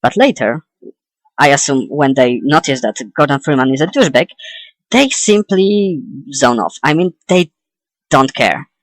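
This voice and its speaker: A young adult man giving an opinionated, informal video essay as a game commentator, halting and correcting himself as he goes. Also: medium pitch, lively intonation, no room sound, poor audio. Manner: hesitant, halting delivery with self-corrections.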